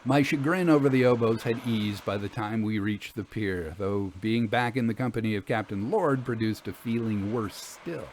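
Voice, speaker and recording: A man's voice: lowish voice, normal speed, hardly any pauses, darkish tone. Man narrating a literary story, faint noise.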